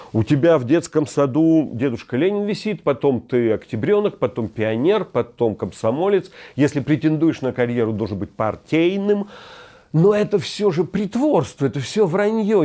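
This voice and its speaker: A man in his fifties delivers a scripted commentary monologue from a hotel room. His voice is mellow and sits a little high, and his tone is wry and ironic. He reflects at a measured pace. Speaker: host.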